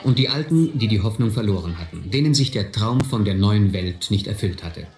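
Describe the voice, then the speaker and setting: A man's voice: lowish voice, lively intonation, fairly quick, darkish tone, reverberant, slight background noise. An actor narrating a radio drama as a man recounting his boyhood street adventures. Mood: uneasy, tense.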